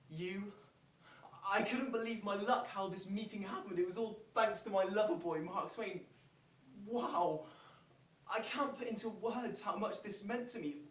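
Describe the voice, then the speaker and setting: A stage performer playing a devoted, starstruck pop fan delivering a testimony, recorded from a distance in an echoey room. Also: male voice, sits high, close to an everyday voice. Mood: reverent, awed. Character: devoted, starstruck pop superfan recounting a meeting. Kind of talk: theatrical monologue of quoted fan testimony. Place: theatre space, recorded from afar. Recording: echoey hall, distant microphone, poor copy.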